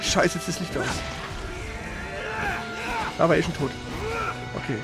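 High-pitched man with creaky voice, gaming chat. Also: a little slow, loud music behind the voice. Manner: colloquial, slangy, mild swearing. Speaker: let's play streamer. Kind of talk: casual gameplay commentary and chat.

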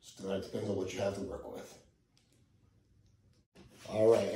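Male fitness coach, middle-aged, casual and easygoing, warm dark tone, roomy home recording with audible noise. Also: medium pitch. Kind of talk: casual workout demonstration. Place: living room at home, indoors. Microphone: camera a few meters away.

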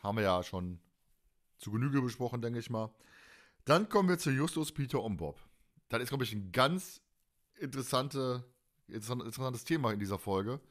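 A man's voice: medium pitch, a bit bright. A man hosting a casual fan podcast, talking in a colloquial, opinionated and blunt way. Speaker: podcast host.

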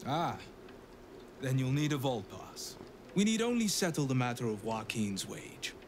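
An actor playing a formal, courteous bank clerk with a slightly haughty tone. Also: male voice, medium pitch, big pitch swings, a little slow, background noise.

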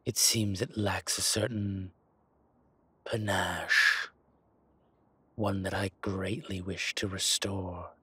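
A man playing an arrogant, luxury-loving dragon mob boss in an intimate roleplay audio, speaking in a deliberate, smooth-talking way with a rough voice. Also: sits low, little pitch movement, bright, forceful tone, no echo, heavily acted. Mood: haughty, disdainful. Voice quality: husky, rough. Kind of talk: intimate scripted roleplay audio, one-sided conversation.

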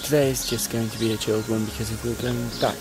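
A man's voice: mid-range voice, fast talker, over music. A young man in his twenties chatting casually to a handheld camera in a travel vlog, sometimes tired. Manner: relaxed, chatty, talks straight to camera. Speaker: vlogger. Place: car and indoor rooms. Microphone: handheld camera at arm's length.

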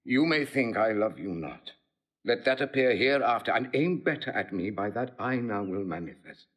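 An actor playing a cold, scheming nobleman, measured and insinuating, in classical Shakespearean style. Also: medium pitch, expressive, close to an everyday voice. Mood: formal, insinuating. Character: cold scheming nobleman villain, slandering brother. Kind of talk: classical Shakespearean audio drama acting.

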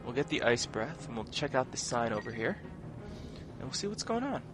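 A man's voice: medium pitch, very animated, brisk. A man giving casual, wryly sarcastic commentary as he plays through a video game. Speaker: video game let's player. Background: video game music and sound effects.

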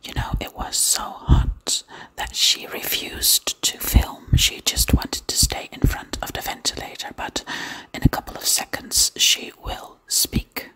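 Whispering ASMR woman, slow delivery. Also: poor audio. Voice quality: breathy, unvoiced whisper. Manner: slow, repeats phrases softly. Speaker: ASMR channel host. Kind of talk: ASMR whispered personal attention role-play. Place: small room at home, indoors. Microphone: close desktop condenser microphone.

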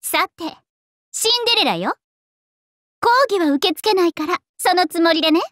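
A woman's voice: extremely high pitch, moderate pace, a bit bright, no echo, clean studio sound. A woman voicing a vain, bubbly young princess idol in a Japanese anime game, with a haughty, playful and theatrical delivery. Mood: haughty, excited.

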